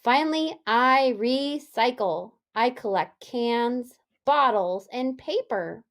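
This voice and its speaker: A woman elementary school teacher giving a read-aloud lesson for young children, animated and deliberate, often putting questions to them. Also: fairly high pitch.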